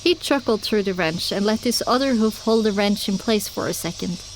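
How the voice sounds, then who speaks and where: A woman's voice: mid-range voice, moderate pace, a little hiss or hum, rough recording. A woman reading scripted first-person story narration as the narrator of an audio drama.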